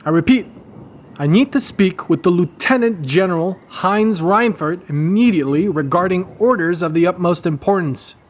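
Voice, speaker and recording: A man voicing a resistance fighter who poses as an officious German corporal, calling out formally and loudly in an audio drama. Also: high-pitched, moderate pace, pitched above the performer's own voice, close to an everyday voice. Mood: commanding, urgent. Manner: loud, formal, commanding.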